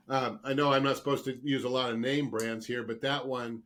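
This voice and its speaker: A man with a slightly rough voice from a lingering cold, explaining earnestly and emphatically as a guest expert in an interview. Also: mid-range voice, a bit bright. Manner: earnest, emphatic, repeats words for stress. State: getting over a cold, throat clearing and coughing. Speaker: guest expert, dentist specializing in dental sleep medicine.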